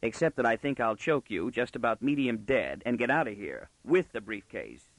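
A man's voice: mid-range voice, expressive, moderate pace, no room sound, poor audio. A man voicing a wry young male intruder in a storybook, gritty and sardonic with mocking courtesy. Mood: gruff, sardonic.

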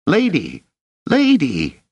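A man voicing a scrappy, excitable young street boy in a squeaky, slangy, defiant voice for an audiobook. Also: measured pace, dry recording. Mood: frantic. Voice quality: squeaky, shrill. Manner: slangy street talk with dropped endings.

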